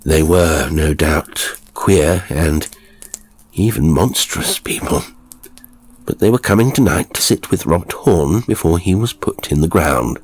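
A man reads a literary horror tale in a very low, rasping voice. His delivery is slow and measured, with a theatrical storyteller's weight on each phrase. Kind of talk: scripted literary narration.

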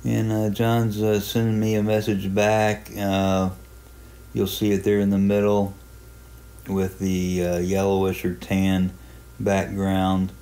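Man, flat intonation, soft mellow tone, casual hobbyist walkthrough, plain unhurried explaining. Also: low voice. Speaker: amateur radio hobbyist presenting a software walkthrough. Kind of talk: casual screen-recording tutorial narration.

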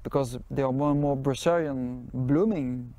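Middle-aged guy explaining his festival outside in the woods, lots of uhs, soft warm tone. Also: medium pitch. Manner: halting, many fillers. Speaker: festival organizer. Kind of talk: casual interview answers. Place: outdoors in a forest.

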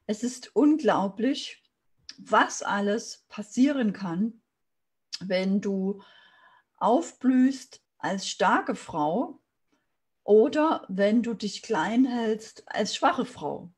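A woman's voice: medium pitch, animated, very slow, a little mellow, husky. A woman in her thirties from Saxony, a business coach telling a personal story in an earnest motivational talk to camera on a video call. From Saxony, Germany. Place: small room indoors.

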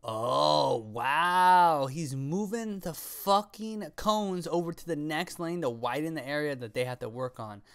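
A young man records casual reaction commentary up close on a studio microphone. His voice sits at a medium pitch, and he talks off the cuff with slang, swearing and playful sarcasm. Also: a bit bright, smooth. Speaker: reaction video creator. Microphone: close studio microphone on arm, with headset.

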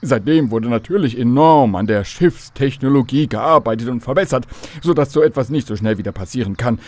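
A man's voice: medium pitch, brisk, a little mellow, no room sound, close to an everyday voice. A man playing a knowledgeable storyteller who explains history precisely to children in a scripted radio drama. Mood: informative.

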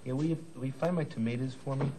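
A man's voice: mid-range voice, quick pace, mellow, slight background noise, lightly acted. A man playing a cook in a kitchen sketch, bantering and teasing with tongue-twisters. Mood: playful. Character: Paul, a playful cook in a comedy kitchen sketch.

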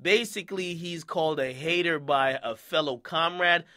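A man's voice: fairly high pitch, moderate pace, pushed and bright. A man from Jamaica hosting casual commentary, who keeps checking in with "okay?" and "right?" and acts out quoted voices. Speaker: commentary host.

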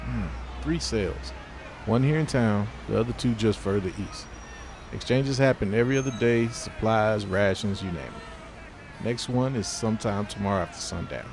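A man's voice: fairly low pitch, expressive, normal speed, background noise. A man playing a cornered fugitive informant in an audio drama, speaking in a bitter, curt, streetwise and profane way. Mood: curt, guarded. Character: defiant, cornered male fugitive turned reluctant informant. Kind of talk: scripted audio drama dialogue.

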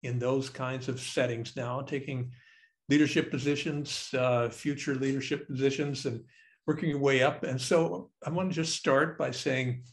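An older man hosting an online alumni panel from his home study, in a relaxed, rambling way. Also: mid-range voice, normal speed. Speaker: panel moderator. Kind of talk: webinar moderating. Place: home study, on a video call. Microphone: video call.

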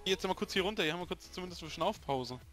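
A man's voice: high voice, expressive, very fast, fairly bright tone. A man talking casually as he plays a video game with others. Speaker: gaming video player. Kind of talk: casual gameplay chat. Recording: faint music behind the voice. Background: faint music.

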